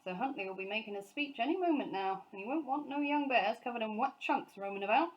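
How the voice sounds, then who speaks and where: A woman's voice: fairly high pitch, animated, fast, dull audio, pitched above the performer's own voice, natural voice. A woman voicing a dour, disapproving male factory gatekeeper in a storybook, grumbling colloquially.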